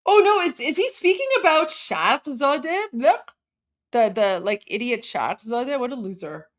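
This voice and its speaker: A woman's voice: fairly high pitch, lively intonation, normal speed. A woman from Iran co-hosting a live stream, giving heated, opinionated commentary and talking straight to her viewers. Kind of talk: casual livestream commentary.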